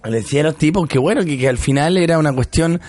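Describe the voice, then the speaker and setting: A man's voice: on the high side, fast, darkish tone, no echo. A man with a Chilean accent, co-hosting a casual talk show and speaking in a thoughtful, hesitant way with many restarts. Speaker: radio show co-host. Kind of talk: casual discussion.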